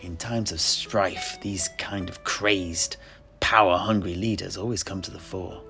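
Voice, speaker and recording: A man playing a genial tavern keeper and amateur historian in an audio play, telling his tale in a deliberate, theatrical way with scholarly asides. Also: low voice, steady tone, creaky, pushed and bright, faint music behind, full character voice. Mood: moralizing. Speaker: storyteller and host of an audio play. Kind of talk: in-universe scripted storytelling to tavern listeners.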